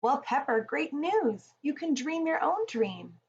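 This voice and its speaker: A woman reading a rhyming children's picture book aloud in a deliberate, expressive storytelling manner. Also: sits high, dull audio.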